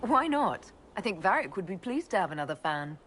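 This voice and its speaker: An actress playing a poised, playfully wry young heroine and leader in a fantasy video game. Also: female voice, medium pitch, very animated, a little fast, a bit bright, slight background noise. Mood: amused. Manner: wry, lightly teasing.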